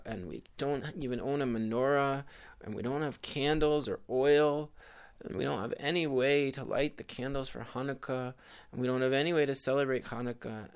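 A man's voice: mid-range voice, gravelly, smooth, pitched above the performer's own voice. A man voicing a poor, weeping old woman in a bedtime story, pleading and plaintive.

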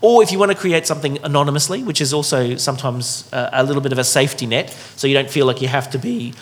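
A man's voice: mid-range voice, fast. A man hosting a dinner discussion, talking loosely and casually with many fillers and restarts. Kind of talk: casual welcoming talk to a group.

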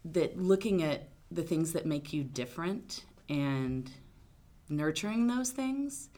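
A woman attorney from Arkansas, answering interview questions in a loud, outgoing, talkative way. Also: low voice, animated, takes it slowly. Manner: loud, big personality, talkative. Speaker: attorney guest.

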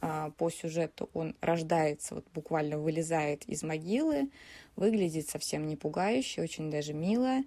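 A woman's voice: deep voice, warm tone. A woman giving an explanatory overview as a podcast contributor, recounting and commenting as she goes. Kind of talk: explanatory film-history overview.

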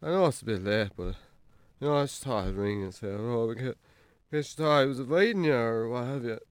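An actor playing a troubled, hard-drinking Irish farmer in a naturalistic radio drama, halting and sweary. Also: male voice, medium pitch, animated, smooth, no echo, lightly acted. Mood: awkward, pleading.

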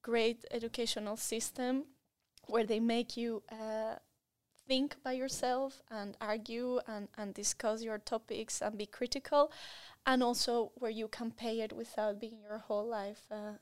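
Female interviewee, 30s, fairly high voice, Latin American Spanish accent, expressive and enthusiastic, conversational delivery. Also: unhurried, clean tone. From Colombia and Spain. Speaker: interview guest.

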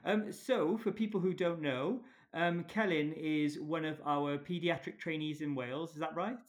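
A man hosting a podcast interview, relaxed and hesitant, with many fillers. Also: on the high side, normal speed. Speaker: podcast host. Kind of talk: casual interview chat.

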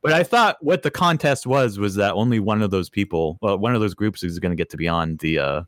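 A man's voice: fairly low pitch, lively intonation, brisk, no room sound, clean studio sound. A man hosting a casual podcast, probing his guest with wry questions and frequent laughs. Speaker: podcast host.

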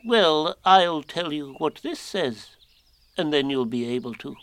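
Storybook owl, voiced by a male reader: self-important, slightly anxious, very slow and halting, pitched well up. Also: lively intonation, fairly bright tone, smooth, no echo. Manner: deliberate, halting, pompous. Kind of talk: children's storybook character voice.

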